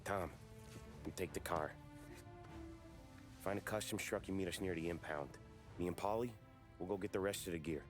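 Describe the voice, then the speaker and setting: An actor playing a level-headed young mobster who leads his crew, talking in a calm, blunt voice. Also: low voice, normal speed, long pauses, over music, natural voice. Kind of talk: video game cutscene acting. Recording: game cutscene with loud score.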